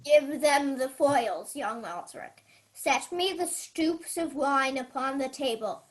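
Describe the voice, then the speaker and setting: A woman's voice: high-pitched, lively intonation, takes it slowly. A young woman playing a commanding, scheming king in a Shakespearean radio play. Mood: ceremonious, scheming. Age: young adult. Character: King Claudius, commanding, scheming older male ruler. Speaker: student actor.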